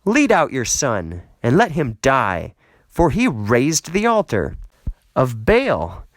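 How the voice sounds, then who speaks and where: A man's voice: mid-range voice, huge pitch swings, slow pace, no room sound, studio quality, pitched above the performer's own voice. A man voicing angry townsmen who accuse and demand punishment, emphatic, in a dramatised scripture reading.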